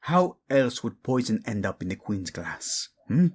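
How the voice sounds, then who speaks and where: A man acts out a devoted royal lover in a romantic audio drama. His delivery is slow and deliberate, with big swings in pitch. He is intimate and teasing, yet protective and used to giving orders, dropping in Spanish endearments. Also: sing-song, husky, exaggerated voice. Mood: furious, commanding. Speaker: voice actor. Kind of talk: romantic audio roleplay drama addressed to the listener.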